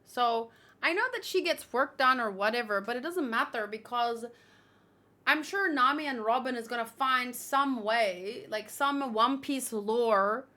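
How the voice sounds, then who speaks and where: Chatty streamer lady, highish bright voice, reads stuff out and reacts really expressively. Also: measured pace. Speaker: manga read-along streamer. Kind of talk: casual livestream commentary, reading aloud and reacting.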